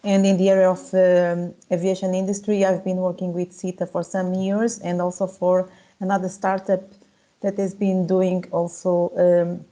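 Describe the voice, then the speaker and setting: A woman introducing her career on a video-call panel, halting and searching for words. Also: on the low side, warm tone, rough recording. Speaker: analytics professional, panelist.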